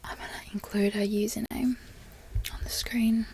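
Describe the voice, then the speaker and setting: A young woman from Florida whispering softly in a chatty, rambling way, as a relaxed ASMR talk. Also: medium pitch, moderate pace, a little hiss or hum. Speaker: ASMR creator.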